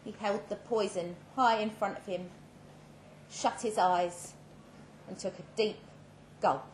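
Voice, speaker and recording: A woman reading a first-person short story dramatically, measured and with deliberate pauses. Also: mid-range voice, fairly even intonation. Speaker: story reader. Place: live reading event in an echoing room. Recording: roomy live venue with faint background noise.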